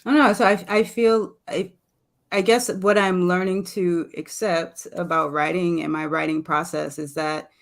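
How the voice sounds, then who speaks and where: A woman with a slightly low voice. She talks as an interview guest in an unscripted way, feeling her way reflectively through each idea with tentative phrasing. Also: fairly even intonation, moderate pace, vocal fry. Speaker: poet and professor, guest. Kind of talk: casual, unscripted interview answer.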